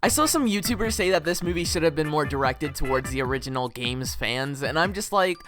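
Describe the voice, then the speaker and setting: An animated YouTuber talks over background music in a casual video review. The pitch swoops up and down constantly, and the delivery is excitable and slangy, tipping into playful mock outrage. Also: a little fast.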